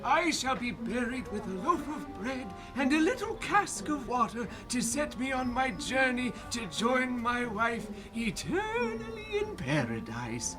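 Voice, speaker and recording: Very high-pitched, oddly cheerful doomed husband. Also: male voice, big pitch swings, a little slow, hardly any pauses, music in the background, exaggerated voice. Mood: cheerful farewell. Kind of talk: comic radio drama acting. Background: musical score.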